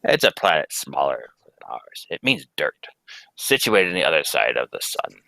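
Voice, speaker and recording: A man's voice: a bass voice, sing-song, normal speed, gravelly, pushed and bright, no echo, exaggerated voice, poor audio. A young man playing a grave, urgent alien scientist and family man in a live radio play reading, speaking in solemn, theatrical pronouncements. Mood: earnest. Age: young adult. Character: grave, urgent Kryptonian scientist, husband and father. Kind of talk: live amateur reading of old radio script.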